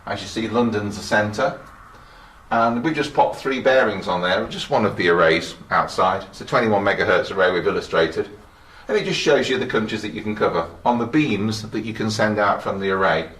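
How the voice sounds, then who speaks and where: Male tour guide, mature, low pitch, plain explanatory delivery, echoey room with light noise. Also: lively intonation, moderate pace, a bit bright. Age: middle-aged or older. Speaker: station engineer giving a guided tour. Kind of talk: informal technical tour narration. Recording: roomy echo with faint background noise.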